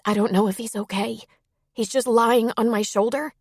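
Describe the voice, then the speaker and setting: A woman's voice: on the high side, fairly even intonation, moderate pace, darkish tone, no room sound, clean studio sound, pitched above the performer's own voice. A woman voicing an anxious, tender-hearted young woman who speaks with urgent, earnest worry in an audiobook. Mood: worried. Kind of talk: audiobook character dialogue.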